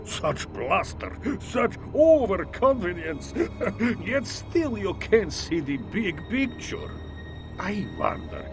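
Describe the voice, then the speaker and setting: Pompous gloating game villain, pitch swoops all over, slow and theatrical, music behind. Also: male voice, exaggerated voice. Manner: theatrical, pompous, condescending monologuing. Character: gloating, grandiose male villain with fiendish schemes. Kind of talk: video game cutscene acting.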